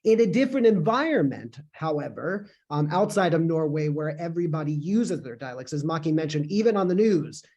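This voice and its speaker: A man's voice: a little high, lively intonation, fairly quick, few pauses, darkish tone, dull audio. Man explaining on a video call. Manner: fluent and explanatory, with filler restarts. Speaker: co-author answering from the audience. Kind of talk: academic Q&A answer.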